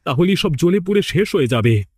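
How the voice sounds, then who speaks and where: A man's voice: on the high side, sing-song, fairly quick, mellow, breathy edge, no echo, studio quality. Man acting a stern, solemn village leader. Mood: grave, commanding. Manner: commanding, solemn. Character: stern village leader giving grave orders and warnings. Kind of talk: horror cartoon voice acting.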